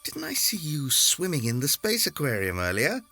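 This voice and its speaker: A gushing, leering admirer in an audio drama. The voice is creaky and raspy, swinging dramatically up and down as he flirts. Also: on the high side, huge pitch swings, normal speed, bright, forceful tone, soft background music, exaggerated voice. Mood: flirtatious.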